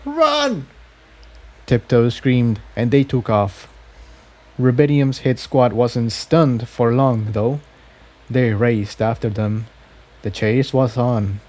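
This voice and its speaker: Man, soft dark tone, story narration, occasional repeated phrases. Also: medium pitch, fairly even intonation, slow. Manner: stumbles and repeats phrases while reading. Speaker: fan fiction reader.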